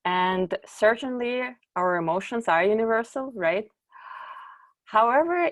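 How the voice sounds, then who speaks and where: Woman from ukraine giving a talk. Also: medium pitch. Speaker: conference speaker, company executive. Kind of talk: conference presentation.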